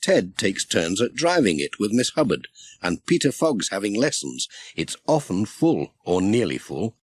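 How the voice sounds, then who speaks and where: A man's voice: on the low side, big pitch swings, a little slow, slightly rough, no echo. A man with a British accent reading a children's story as a scripted audiobook narrator.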